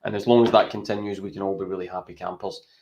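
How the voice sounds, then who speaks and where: A man's voice: low-pitched, steady tone, fast, a little mellow. A Scottish man talking football on a podcast in a chatty, rambling way, often tagging his points with "right?". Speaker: podcast co-host. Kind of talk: casual football chat.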